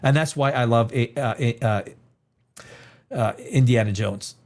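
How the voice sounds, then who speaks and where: A man's voice: medium pitch, takes it slowly, darkish tone. A man, chatting casually as a livestream host in an opinionated, emphatic way, repeating phrases to drive points home. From Canada. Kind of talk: casual film chat, rambling commentary.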